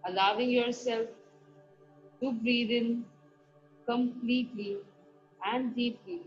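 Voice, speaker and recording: A woman guiding a meditation, giving soft, slow instructions broken by long pauses. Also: a little high, steady tone. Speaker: meditation guide. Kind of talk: guided meditation. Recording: dull lo-fi recording with faint music. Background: soft background music.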